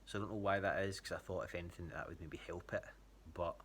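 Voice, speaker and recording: Scottish male, low voice, informal and halting check-in style, noisy recording. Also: steady tone, fairly bright tone. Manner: hesitant, rambling. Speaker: athlete giving a training check-in to a coach. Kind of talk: casual spoken update.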